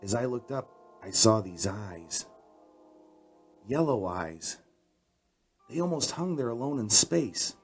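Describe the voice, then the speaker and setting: A man's voice: mid-range voice, lively intonation, slow, pauses often, breathy edge, soft background music, exaggerated voice. An actor playing a frightened, earnest young night-shift worker, telling his story hesitantly in a radio drama. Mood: haunted.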